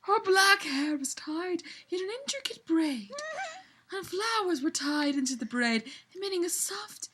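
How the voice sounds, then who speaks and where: A woman's voice: very high-pitched, animated, measured pace, pushed and bright. A woman giving a giggly, theatrical, mock-dramatic reading of fan fiction to friends. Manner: theatrical, giggly, often breaking up.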